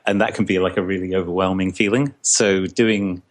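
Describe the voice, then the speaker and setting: A young man from Scotland, a comics artist answering interview questions in a casual, halting way, full of restarts and fillers. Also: sits low, steady tone.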